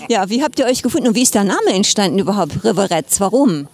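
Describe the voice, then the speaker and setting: A woman's voice: medium pitch, lively intonation, quick pace, a little mellow. A woman interviewing a band, upbeat and full of compliments. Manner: enthusiastic, complimentary. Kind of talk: festival interview.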